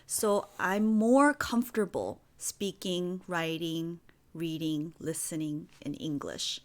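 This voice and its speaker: A young woman in her twenties from the United States, a guest teacher answering interview questions in a slow, gentle, clearly spoken way. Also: fairly low pitch. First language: Korean.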